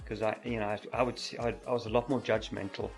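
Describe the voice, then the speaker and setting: Soft-spoken guy who stutters a lot, chatting on a podcast, middle-aged. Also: fairly low pitch, flat delivery, quick pace, gravelly, soft background music. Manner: stutters, repeats words, soft and gentle. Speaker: podcast guest, mountain biker. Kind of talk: casual interview chat.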